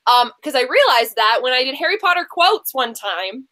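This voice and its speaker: A woman with a high, bright voice runs a casual quiz. She talks in a chatty, animated way and teases the contestants as she reads out clues and answers. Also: normal speed. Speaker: quiz show host. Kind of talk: casual bookish quiz hosting.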